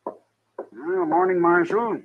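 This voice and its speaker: A man's voice: sits high, slow pace. An American man acting a genial, respectable town banker in a radio Western, polite and genteel. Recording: tinny, hissy old radio recording.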